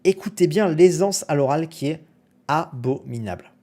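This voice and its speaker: A young man reacting to his own old video on a live stream, animated, exclamatory and self-mocking. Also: on the high side, moderate pace.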